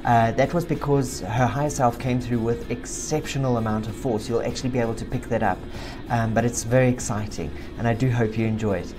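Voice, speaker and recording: A South African woman with a very deep voice narrates a spiritual update, reading transcripts aloud in an emphatic way. Music plays clearly behind her. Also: a little fast. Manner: emphatic, forceful when reading the client. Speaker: video host, spiritual practitioner. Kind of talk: narration read from session transcripts.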